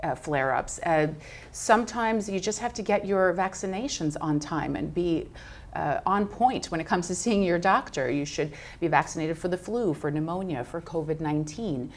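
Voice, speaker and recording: A woman from Brooklyn, a physician guest explaining medical topics in a clear, measured way with frequent "uh" fillers. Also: on the low side, expressive. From Brooklyn, New York. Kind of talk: explanatory interview answers.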